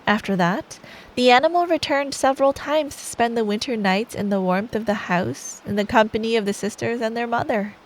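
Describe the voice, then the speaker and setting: A woman's voice: a little high, rough recording. A woman telling a bedtime story in ASMR style as a girlfriend, sweet and playful, taking her time. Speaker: ASMR performer playing a girlfriend. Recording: faint rain noise behind. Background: soft rain sound effect.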